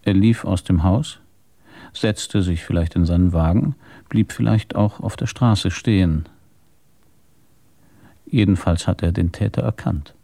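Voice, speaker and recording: A man playing a measured, probing police inspector in a radio drama, husky and deliberate in his speech. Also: low voice, mellow, heavily acted. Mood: matter-of-fact. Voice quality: husky, gravelly.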